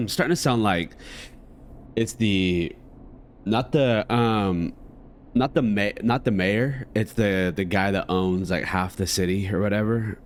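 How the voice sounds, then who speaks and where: Low-voiced, slow-talking man gaming. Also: slight background noise. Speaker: gamer reacting to a game. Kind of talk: casual playthrough commentary.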